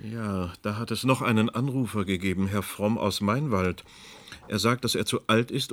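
A man's voice: fairly low pitch, natural voice. An actor playing an insistent radio presenter in a German radio play, formal and pointed as he presses his guests. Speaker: radio host. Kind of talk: German radio play as live panel programme.

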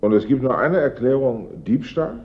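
An actor playing a dry, laconic, sardonic Paris police inspector in a German radio play. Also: male voice, medium pitch, expressive, normal speed, breathy edge. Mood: probing, dry. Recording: dull, lo-fi old radio recording.

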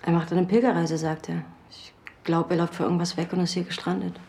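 An actress playing a headstrong, hospitable wife in a naturalistic radio drama, speaking in a brisk, pointed way. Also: female voice, lowish voice, little pitch movement, a little mellow, slightly rough. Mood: defiant. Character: headstrong wife, hospitable to strangers, impatient with husband. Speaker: actor in a radio play.

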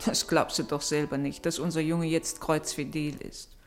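A woman's voice: sits low, little pitch movement, normal speed, soft background music, lightly acted. An actress playing a tender mother who frets over her son, in a German radio play. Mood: worried, sad.

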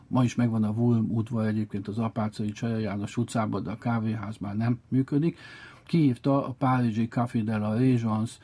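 Man, mellow tone, husky voice, expert explaining history. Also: fairly low pitch. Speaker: chess expert guest. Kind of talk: explanatory storytelling about history.

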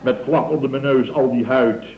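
An actor playing a flustered, blustering middle-aged contractor boss who stammers, repeats himself and grumbles in a comic radio drama. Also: male voice, medium pitch, fairly even intonation, normal speed, a crowd in the background, natural voice. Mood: grumbling, indignant. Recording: dull, roomy old radio recording with hiss.

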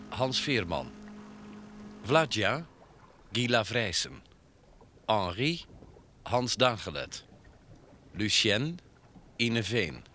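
Male announcer for radio credits: formal, measured, bright and forceful, faint noise. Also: fairly low pitch. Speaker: announcer reading closing credits. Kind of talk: scripted broadcast announcement.

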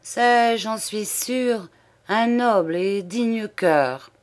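Audiobook role: pensive, courteous examining judge, male, formal and measured played by a female reader. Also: on the high side, pitched above the performer's own voice. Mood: earnest, admiring. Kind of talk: audiobook character dialogue.

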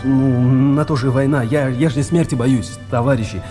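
An actor playing a condemned engineer in a radio drama, honest and humbly pleading, with a halting, deferential way of talking. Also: male voice, mid-range voice, steady tone, warm tone, close to an everyday voice. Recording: reverberant radio play, faint music.

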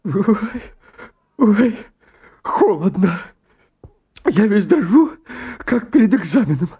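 High-pitched, stammering nervous suitor. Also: male voice, sing-song, slow, warm tone, slightly rough, dull audio, full character voice. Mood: anxious, agitated. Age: 30s. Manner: stammering, flustered, hesitant. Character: nervous hypochondriac bachelor suitor of thirty-five. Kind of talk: stage comedy acting in a radio play.

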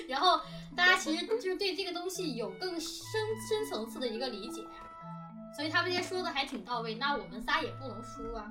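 A woman's voice: high voice, animated, brisk, far from the mic, over music. A woman hosting a casual chat with colleagues in a playful, teasing manner, often close to laughter. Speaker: host and production team lead.

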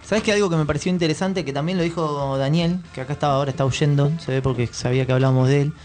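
A man's voice: fairly high pitch, steady tone, racing, darkish tone, faint music behind. A man with an Argentine Rioplatense accent chatting casually as a radio interviewer. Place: radio studio.

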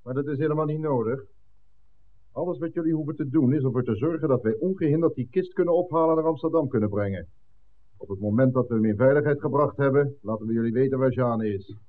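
A man's voice: medium pitch, lightly acted. Tinny-sounding, cold male actor as menacing gang boss. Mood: controlled, threatening. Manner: measured threats, curt orders. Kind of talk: 1960s radio drama acting. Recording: tinny, band-limited old radio recording.

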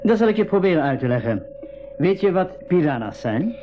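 A man's voice: high voice, sing-song, a little fast, over music. An actor playing a courteous biologist and jungle explorer in his thirties in a school radio drama, explaining things politely. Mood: grave, vivid. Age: 30s. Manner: polite, explanatory, slightly hesitant. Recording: dull recording with clear background noise.

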